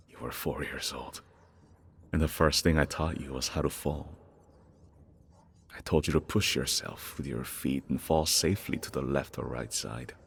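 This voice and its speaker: Very deep, husky, regretful father. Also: exaggerated voice. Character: weary, regretful father reminiscing tenderly about his child. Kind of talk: dramatic first-person game monologue.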